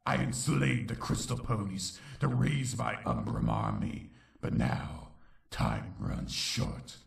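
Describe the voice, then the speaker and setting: Super deep evil pony king, bitter n menacing, swoops around, echoey. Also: wildly swooping pitch, heavily acted. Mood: vengeful. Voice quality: gravelly. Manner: slow, dramatic, menacing delivery. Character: villainous monster king, brooding, vengeful, bitter male tyrant pony. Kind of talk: dramatic narration in a comic audio dub.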